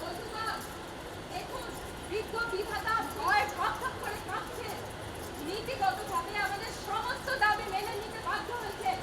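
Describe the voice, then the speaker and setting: A woman's voice: very high voice, steady tone, normal speed. A woman playing a grasping, scheming female leader of ghosts in a stage comedy, declamatory and wheedling like a rabble-rouser. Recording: echoey noisy stage with crowd.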